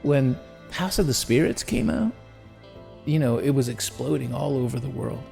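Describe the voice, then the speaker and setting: Gravelly, mellow-voiced man. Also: medium pitch, big pitch swings, normal speed, soft background music. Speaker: documentary interviewee. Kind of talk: tribute interview.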